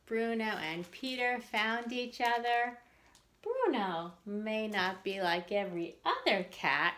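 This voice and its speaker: A woman reading a picture book aloud to young children in an expressive storyteller's manner, with questions for her listeners. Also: medium pitch. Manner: slow and expressive, pausing to ask listeners questions.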